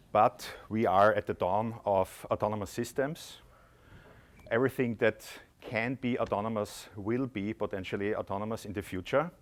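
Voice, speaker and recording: Man, Austrian accent, lowish voice, conference presentation, earnest explaining, ums and uhs. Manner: earnest, explanatory, frequent filler sounds. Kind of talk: conference talk. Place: conference hall.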